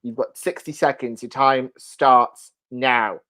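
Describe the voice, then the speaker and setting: A woman hosting a panel game in a playful, excitable, teasing manner. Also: extremely low pitch, slow. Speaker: host of a panel show.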